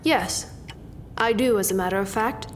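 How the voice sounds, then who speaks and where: A woman's voice: mid-range voice, moderate pace, slight background noise, natural voice. An actress playing a wary, defiant young female citizen in a radio drama, guarded and tense. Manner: tense self-talk, guarded. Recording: roomy reverberant sound with faint music.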